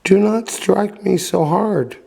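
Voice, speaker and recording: Man putting on a tiny, high, pleading wooden voice. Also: slow pace, gravelly, mellow, breathy edge, heavily acted. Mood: imploring. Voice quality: small, thin. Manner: pleading, doleful. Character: tiny imploring childlike voice from a piece of wood. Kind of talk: storybook character voice.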